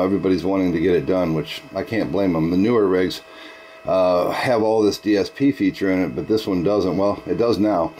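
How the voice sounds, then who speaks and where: A man with a low voice chats casually as a ham radio operator. He sounds enthusiastic and folksy, with some background noise behind him. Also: darkish tone.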